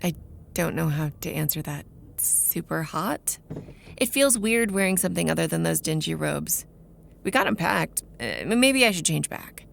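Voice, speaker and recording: Low, creaky, brooding vampire woman played by an actress. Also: expressive, moderate pace, slight background noise, full character voice. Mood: dry, awkward. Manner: dry, guarded, sardonic. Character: brooding, wary young female vampire researcher, nursing old anger. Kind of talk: audio drama dialogue and first-person narration. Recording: background music under the voice.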